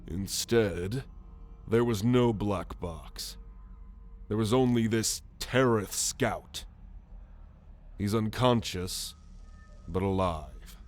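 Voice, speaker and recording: A man voicing a proud, gruff alien military renegade in an ASMR roleplay, haughty and sardonic in a measured delivery. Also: on the low side. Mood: grim, reflective. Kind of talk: ASMR roleplay monologue to the listener.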